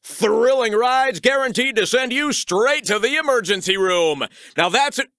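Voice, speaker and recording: A man's voice: extremely high pitch, unhurried, sharp tone, clean tone, no room sound, clean studio sound, heavily acted. A man voicing an upbeat cartoon chicken who presents like a polished, showy TV sportscaster. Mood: showy cheer. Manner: polished, showy announcer delivery.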